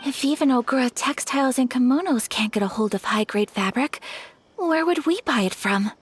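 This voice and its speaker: An actress playing a poised, courteous young noblewoman who speaks formally and softly. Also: sits high, expressive, normal speed, a little hiss or hum. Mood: polite. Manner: formal, polite, soft-spoken. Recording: game dialogue over music.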